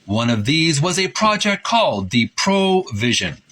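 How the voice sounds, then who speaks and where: A man reading aloud and describing pictures as a presenter, with an informal, at times halting delivery. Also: a little high, big pitch swings, a bit bright.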